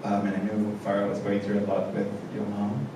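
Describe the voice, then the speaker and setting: A man directing a panel talk, speaking haltingly with many fillers. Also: on the low side, completely flat delivery. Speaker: theatre director. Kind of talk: casual panel chat. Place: indoor theatre stage. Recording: echoey theatre, distant mic, noisy.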